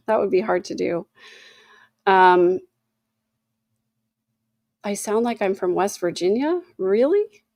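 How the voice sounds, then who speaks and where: A woman's voice: medium pitch, animated, slow pace, long pauses, a little mellow, dry recording. A woman in her fifties hosting a casual livestream, rambling between topics and reading chat messages aloud with lots of fillers. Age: 50s. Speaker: livestream host.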